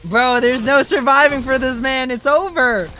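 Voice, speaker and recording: A game streamer doing casual, excitable live commentary in slangy talk peppered with bro and dude. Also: high voice, normal speed, soft background music.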